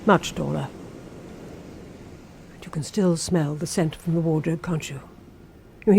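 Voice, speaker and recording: A woman's voice: fairly low pitch, dark tone, slight background noise, natural voice. An actress playing a sinister, obsessive housekeeper in a radio drama, insinuating and intimate, lingering on her phrases.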